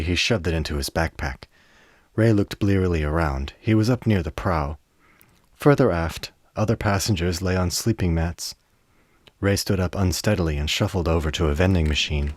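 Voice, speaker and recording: A man with a low, deep voice narrates a dramatic story for a radio play. His delivery is measured and literary, reading from a script. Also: darkish tone, no echo, studio quality.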